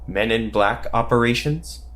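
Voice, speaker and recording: A man playing a dry, sardonic veteran secret agent in an audio drama, terse, pedantic and deadpan. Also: mid-range voice, unhurried, close to an everyday voice. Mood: pedantic, skeptical.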